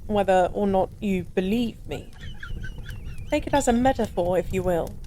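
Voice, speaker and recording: A woman's voice: medium pitch, moderate pace, mellow, lightly acted. A woman playing a drunk, melancholy stranger who was once a god, confiding in a wistful, rambling, hesitant way in an intimate audio roleplay. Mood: resigned, confessional. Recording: clear background ambience noise. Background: bar ambience.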